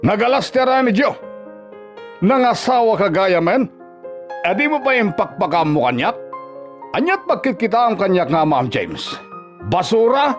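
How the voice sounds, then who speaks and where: A man's voice: high-pitched, very animated, normal speed, pauses often, faint music behind. An actor with a Filipino accent playing a domineering, scornful older father-in-law in an Ilocano radio drama, scolding and badgering.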